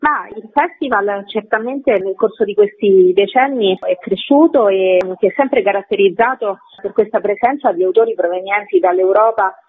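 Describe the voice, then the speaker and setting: A woman's voice: mid-range voice, moderate pace, hardly any pauses, breathy edge. A woman interviewed on the radio over the phone as a festival curator, explaining things articulately in long flowing sentences. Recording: muffled narrow phone line.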